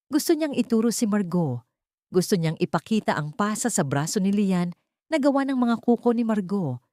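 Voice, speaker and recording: A woman's voice: lowish voice, darkish tone, no echo, studio quality. A woman with a Filipino accent reading a dramatic scripted audiobook story as narrator.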